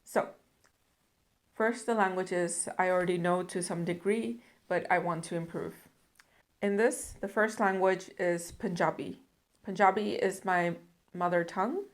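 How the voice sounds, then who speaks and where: A young woman from the Netherlands talking to camera about her language goals, earnest and reflective. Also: fairly low pitch. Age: young adult. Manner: earnest, reflective, measured with some fillers. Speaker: language learning vlogger. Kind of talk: casual talk to camera.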